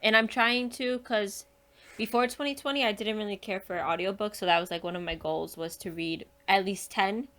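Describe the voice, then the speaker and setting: A woman's voice: mid-range voice, fairly even intonation, moderate pace, fairly bright tone. A woman speaking as a podcast guest in a casual book chat, informal and chatty, laughing readily.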